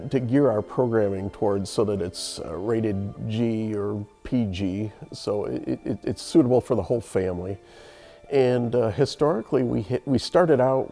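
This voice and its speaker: A man involved in running a radio theater, giving halting, thoughtful explanations in an interview. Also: on the low side, creaky, dark tone. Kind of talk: interview answers.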